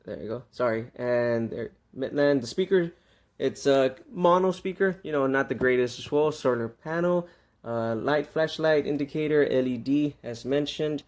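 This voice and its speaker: Casual man reviewing a product. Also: mid-range voice. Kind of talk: casual unboxing review commentary.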